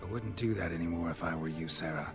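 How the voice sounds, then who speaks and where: An actor playing a laconic, dry-witted monster hunter in a video game, gravelly and terse. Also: male voice, deep voice, quick pace, music in the background. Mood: calm, firm. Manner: terse, deadpan. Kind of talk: video game character acting.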